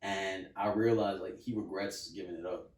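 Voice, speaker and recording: A man's voice: deep voice, steady tone, normal speed, darkish tone, far from the mic, a little hiss or hum. A young man from New Jersey, a rapper guest, talking in a laid-back way full of "like" and "you know". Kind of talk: casual interview chat. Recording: roomy, from off mic.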